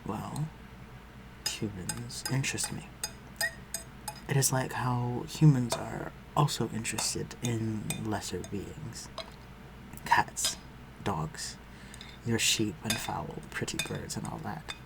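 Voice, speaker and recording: A man playing an aristocratic vampire master in a scripted audio roleplay, speaking formally and with measured, condescending concern for the listener. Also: lowish voice, creaky, very noisy. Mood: civil, aloof. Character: aristocratic male vampire master, civil, protective, coolly superior.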